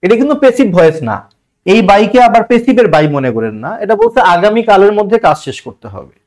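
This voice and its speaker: High-pitched, animated Bangladeshi male teacher. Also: fast talker. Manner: colloquial, rhetorical questions, repeats key phrases. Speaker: online English grammar teacher. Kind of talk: exam-prep lesson, explaining multiple-choice questions.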